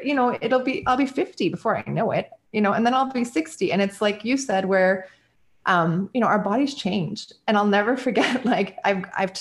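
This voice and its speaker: A woman in her early forties chats in an easygoing, rambling way, full of fillers and little laughs. Her voice sits at an ordinary middle pitch. She talks like a guest on a podcast. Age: 40s. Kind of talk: casual conversation.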